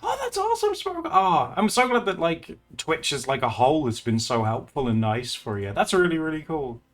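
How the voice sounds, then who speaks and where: Giggly, lively-voiced male streamer. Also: on the high side, brisk, faint music behind. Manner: chatty and giggly, with frequent laughs and restarts. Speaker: livestreamer. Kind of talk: casual livestream chat with viewers while drawing.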